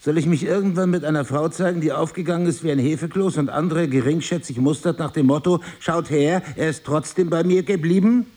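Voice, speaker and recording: An actor playing a middle-aged, exasperated husband in an intimate drama, questioning in a pointed, insistent way. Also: male voice, on the high side, a little fast, few pauses, dark tone, lightly acted. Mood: reproachful. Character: probing, sardonic, exasperated husband of middle age. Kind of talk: intimate stage-play dialogue.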